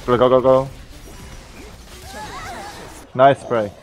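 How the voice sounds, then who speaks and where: A man's voice: mid-range voice, steady tone, drawn-out words, dull audio. A man on voice chat during a game, answering his partner in short, repeated, clipped exclamations. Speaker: gaming duo partner. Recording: music behind the voice.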